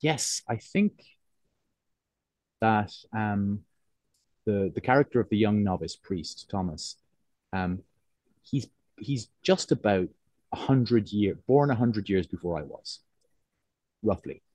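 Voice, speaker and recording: A man in his forties from Cork with a soft, neutralised Irish accent close to English, talking reflectively and hesitantly in an interview, often circling back to rephrase. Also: on the low side, expressive, slow, pauses often, dry recording. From Cork, Ireland. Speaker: interviewed writer.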